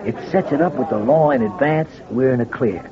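A man's voice: medium pitch, brisk, a crowd in the background, close to an everyday voice. An actor playing a cocky, scheming small-time crook in an old-time radio crime drama, with slangy wise-guy talk. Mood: cocky, conspiring. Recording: dull vintage radio recording with music.

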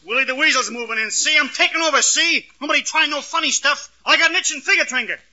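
A man's voice: extremely high pitch, fast, pushed and bright, full character voice. A man acting in an old radio comedy as a timid, stammering wisecracker bluffing as a tough gangster. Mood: blustering, tough. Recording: noisy, muffled old radio recording.

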